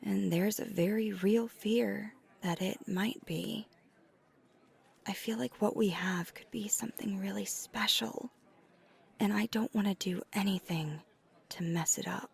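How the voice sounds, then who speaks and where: A woman playing a tender, flirty vampire in an ASMR roleplay, speaking in a breathy, often whispered voice with earnest, hesitant pauses. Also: mid-range voice, heavily acted. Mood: earnest, anxious. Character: flirty, tender female vampire confessing to a date. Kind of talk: soft ASMR roleplay, intimate confession.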